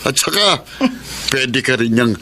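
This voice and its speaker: A man's voice: mid-range voice, animated, takes it slowly. An actor playing a wheedling, debt-ridden father in a Filipino radio melodrama, blustering with excuses in a Filipino accent. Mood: sly, wheedling. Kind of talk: melodramatic radio drama acting. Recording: noisy radio drama ambience.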